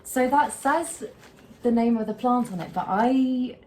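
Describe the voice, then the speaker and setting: A young woman from the UK, a houseplant vlogger, talking in a casual, gushing way with a slightly croaky voice. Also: on the high side, a little slow, a little hiss or hum. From United Kingdom. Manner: gushing, excitable, repeats words, breaks into laughs. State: slightly croaky from a late night. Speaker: houseplant content creator. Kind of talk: casual unboxing vlog.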